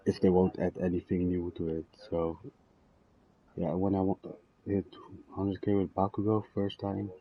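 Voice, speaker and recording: Very deep-voiced young man, casual chat. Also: monotone, slight background noise. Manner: halting, trailing off mid-thought. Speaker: game streamer. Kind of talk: casual chat while playing a game. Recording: dull lo-fi mic, game music behind.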